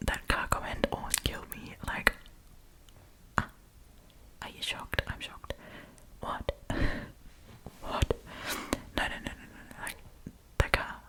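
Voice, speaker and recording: Casting: female ASMR storytime host, full whisper throughout, playful and casual delivery with slangy asides. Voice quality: breathy whisper.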